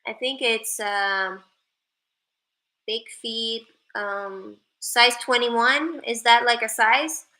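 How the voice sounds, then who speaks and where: A woman's voice: on the high side, steady tone, very slow, sharp tone. A young woman from Sweden chatting casually with viewers on a livestream, joking playfully and reading out their comments. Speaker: live streamer.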